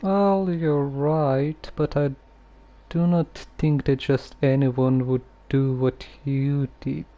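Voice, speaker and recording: Slow, mellow-voiced man streaming a game. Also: medium pitch, flat delivery, muffled recording. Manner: hesitant, halting, musing. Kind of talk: casual game commentary and replies to characters.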